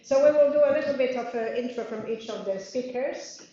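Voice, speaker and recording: A woman's voice: on the high side, brisk, warm tone, slight background noise. A woman in her fifties with a Polish accent, chairing and introducing a panel debate in a chatty way with many fillers. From Poland. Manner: chatty, frequent fillers and laughs. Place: parliament meeting room. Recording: very echoey room, dull audio.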